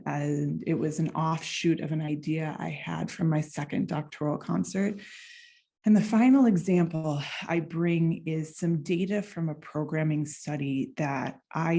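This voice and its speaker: A woman's voice: low-pitched, gravelly, dark tone. A young American woman, a clarinetist and doctoral researcher, giving a conference talk in a conversational, loosely phrased way. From United States. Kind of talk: academic conference presentation.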